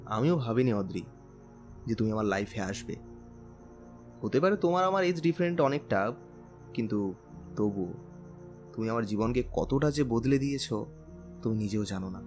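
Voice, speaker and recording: A man's voice: mid-range voice, lively intonation, normal speed, long pauses, darkish tone, close to an everyday voice. A man playing a tender, teasing young bridegroom in a romantic audio drama, speaking earnestly and persuasively. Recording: music bed under the voice.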